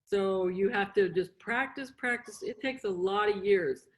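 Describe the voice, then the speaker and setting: Slow-paced middle-aged woman teaching beginners. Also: mid-range voice. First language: English. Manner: simple short instructions with repetition and check-in questions. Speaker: sign language interpreter and instructor. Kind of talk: hands-on beginner lesson and informal explanation.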